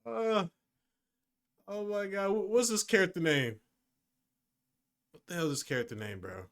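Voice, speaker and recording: A man's voice: sits high, normal speed, gravelly, fairly bright tone. A young man giving slangy, casual commentary as a reaction video host, exasperated and incredulous, often repeating himself.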